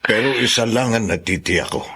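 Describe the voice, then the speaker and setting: A man playing a kindly, devout older family elder in a radio drama, gravelly, earnest and consoling. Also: lowish voice, brisk, bright, forceful tone, full character voice.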